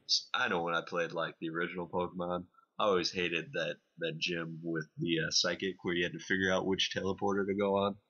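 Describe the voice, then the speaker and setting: A man co-hosting a podcast, giving a casual video game review in a hesitant, explanatory way with many pauses. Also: low-pitched, flat delivery, bright, forceful tone, lo-fi sound. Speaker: podcast co-host reviewing games.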